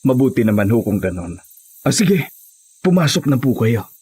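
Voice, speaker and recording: A man playing an earnest, respectful husband seeking help, with a husky voice, in a horror audio drama. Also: medium pitch, sing-song, normal speed, a little mellow. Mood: polite, grateful.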